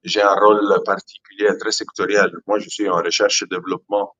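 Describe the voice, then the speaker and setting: Italian-accented man, low voice, hesitant French. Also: level tone, fairly quick, fairly bright tone, muffled recording. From Italy. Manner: hesitant, repeats words while searching for French. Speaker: aquarium product company founder. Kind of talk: casual live chat.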